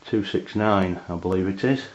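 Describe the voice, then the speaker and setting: A man with an English accent giving a casual hands-on product review in a halting, matter-of-fact way. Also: sits low. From England. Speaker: amateur radio reviewer. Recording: dull, lo-fi camera sound.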